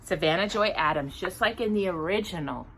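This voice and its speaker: A woman hosting a children's storytime, reading aloud expressively in a deliberate storybook manner with casual asides. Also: fairly low pitch, a little hiss or hum. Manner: animated, deliberate storybook delivery.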